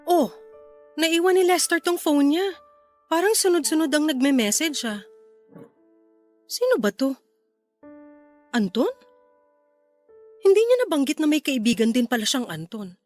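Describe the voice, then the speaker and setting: A woman's voice: sits high, big pitch swings, measured pace, pauses often, a little mellow, faint music behind. A woman playing a hurt, accusatory betrayed lover in an emotional radio drama. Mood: suspicious. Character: betrayed female lover, hurt, furious, confrontational.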